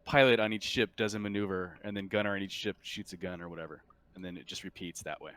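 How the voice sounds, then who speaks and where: A man's voice: sits low, steady tone, fast, fairly bright tone, a little hiss or hum. A man running a tabletop game as game master, explaining rules casually and thinking aloud as he goes.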